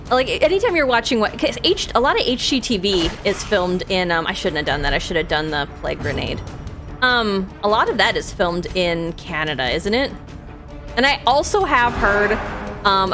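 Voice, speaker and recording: A woman with a Minnesota accent, streaming a game and chatting casually in a rambling way, laughing easily. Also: mid-range voice. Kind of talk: casual chat while playing.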